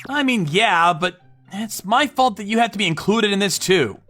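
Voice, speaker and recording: Woman acting as young male boss, manga dub, apologetic, hesitant, animated intonation, bright tone. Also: medium pitch, moderate pace, no echo, soft background music. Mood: uneasy. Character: earnest, apologetic young male company CEO, unsure of himself. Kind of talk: anime-style manga story dub.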